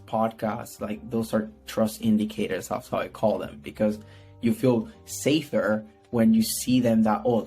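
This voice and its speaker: Low, even-toned Puerto Rican man, rambling casually. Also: slightly rough. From Puerto Rico. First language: Spanish. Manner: rambling, repeats words, frequent "right?" tags. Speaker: podcast guest, PR strategist. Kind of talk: casual conversational interview answers.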